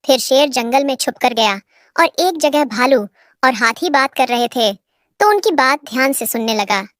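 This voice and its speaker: Woman narrator, high youthful pitch, scripted children's storytelling, dry close recording. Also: lively intonation, a little slow, fairly bright tone, no room sound.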